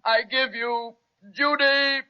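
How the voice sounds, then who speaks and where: An actor playing a sly, sentimental patchwork dragon given to florid speeches in an old children's radio serial. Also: male voice, very high-pitched, steady tone, takes it slowly, smooth. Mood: pompous, sentimental. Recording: dull, lo-fi old radio recording.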